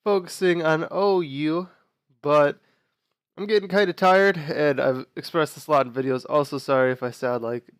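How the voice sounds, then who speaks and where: A young man hosting a gaming video, talking casually through his team choices while sick, sounding nasal and quieter than usual. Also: fairly high pitch, fairly even intonation, normal speed, smooth, no room sound, clean studio sound. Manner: chatty, explains team choices with fillers and restarts. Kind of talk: casual gameplay commentary.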